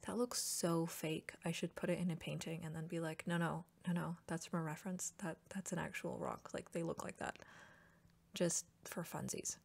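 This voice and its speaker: A young woman talking softly, often dropping into whispers, her voice still scratchy from a recent cough, chatting in a relaxed way. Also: fairly low pitch. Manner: relaxed and chatty, with soft laughs. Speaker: ASMR artist painting while chatting. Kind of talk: soft-spoken ASMR art chat, sliding into whispers.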